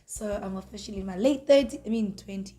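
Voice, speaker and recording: A woman's voice: mid-range voice, fast, background noise. A bubbly woman in her twenties with a South African accent, chatting as an interview guest and laughing often. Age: 20s. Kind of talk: casual interview chat. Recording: echoey room, mic at a distance.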